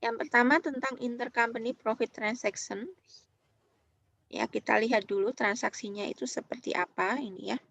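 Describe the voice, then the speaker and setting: A woman with an Indonesian accent giving an explanatory lecture as a university teacher, speaking haltingly and often checking in with short tag words. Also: fairly high pitch, gravelly, a bit bright, no room sound. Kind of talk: online accounting lecture, explanatory.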